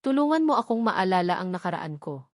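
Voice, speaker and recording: A woman's voice: mid-range voice, big pitch swings, a little fast, no room sound, studio quality, lightly acted. A woman with a Filipino accent voicing a remorseful young wife in an audiobook romance, earnest and pleading.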